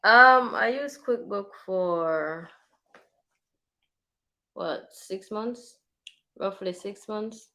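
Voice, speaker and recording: A woman's voice: medium pitch, animated, extremely slow, long pauses, sharp tone. A woman co-hosting a casual livestream chat, giving brief, understated answers. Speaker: livestream co-host.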